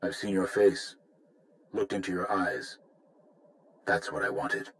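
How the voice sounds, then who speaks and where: An actor playing a gruff, loyal Mandalorian warrior advisor in a video game, measured and blunt. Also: male voice, low-pitched. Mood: wary respect. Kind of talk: video game cutscene acting.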